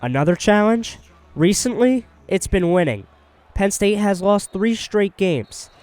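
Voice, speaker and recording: A man's voice: sits high, lively intonation, a little slow, a little mellow, no room sound. A man in his early twenties working as a sports broadcaster, delivering commentary and reporting. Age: early 20s. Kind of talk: hockey play-by-play commentary and scripted sports news narration.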